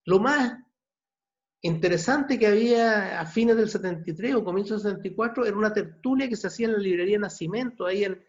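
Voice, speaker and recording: Chilean guy in his 60s, fairly high voice, talks slow and halting, repeats himself, muffled video call sound. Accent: Chilean Spanish. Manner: halting, repeats words, long pauses. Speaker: novelist at his book launch. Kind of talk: reflective answers in an online book launch.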